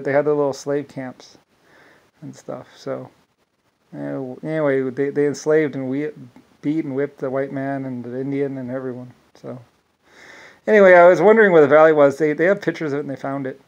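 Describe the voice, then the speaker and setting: A man's voice: mid-range voice, poor audio. A man telling a long, meandering solo monologue, restarting often and circling back with repeated asides.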